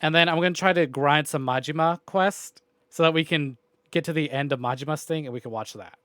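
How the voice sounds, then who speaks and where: Higher-voiced man chatting casually on stream. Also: fairly quick, a bit bright, no room sound. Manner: informal, rambling, mild swearing. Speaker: video game livestreamer.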